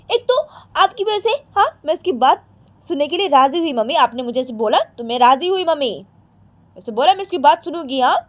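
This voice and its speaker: A woman putting on a shrill, defiant young wife. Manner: repeats refusals, insistent.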